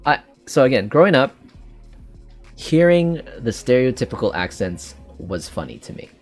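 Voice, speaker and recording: A man's voice: mid-range voice, very animated, moderate pace, soft background music. A man with a Canadian accent hosting a podcast, chatting casually and rambling with frequent restarts. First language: English. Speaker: podcast host. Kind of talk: casual chat.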